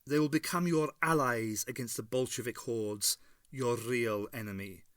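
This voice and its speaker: Hero read: a man as a calm, steady, persuasive time traveller, close dry sound. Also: medium pitch, measured pace, no echo.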